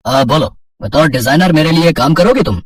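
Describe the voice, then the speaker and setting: An actor playing a kindly, appreciative man in a cartoon story, earnest and encouraging, with a slightly husky voice. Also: fairly high pitch, animated, moderate pace, far from the mic, a little hiss or hum, close to an everyday voice, poor audio. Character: kindly, appreciative adult man, boss and husband in cartoon tale.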